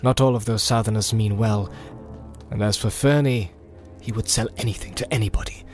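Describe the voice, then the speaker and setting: A man voicing a grim, secretive, world-weary wanderer of the wilds in an audiobook, measured and guarded. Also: on the low side, steady tone, soft background music, close to an everyday voice. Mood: stern warning. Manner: measured and guarded, often dropping to a hush. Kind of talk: audiobook character acting.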